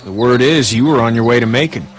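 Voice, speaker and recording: A man's voice: medium pitch, fast talker, faint music behind, close to an everyday voice. An actor playing a folksy, easygoing father in a video game, chummy and practical. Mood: friendly, folksy. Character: folksy, chummy family man, proud practical dad. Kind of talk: naturalistic video game acting.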